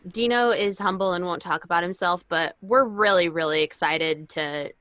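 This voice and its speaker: A woman's voice: lowish voice, normal speed, a bit bright, no echo, faint music behind. Effusive woman podcaster, dry close sound. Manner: effusive, emphatic. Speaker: podcast co-host. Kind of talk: casual podcast chat.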